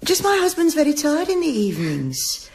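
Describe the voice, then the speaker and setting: A fussy, house-proud foster mother in a radio drama. Her voice is high and moves up and down a lot as she hesitantly placates. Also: wildly swooping pitch, moderate pace, a little mellow, exaggerated voice. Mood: placating.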